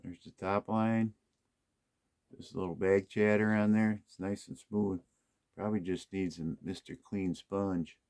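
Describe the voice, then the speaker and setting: A man's voice: low voice, fairly even intonation, unhurried, darkish tone. A man casually talking through an unboxing of used golf clubs, musing aloud to himself as he inspects each one. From United States. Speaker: golf club unboxing host.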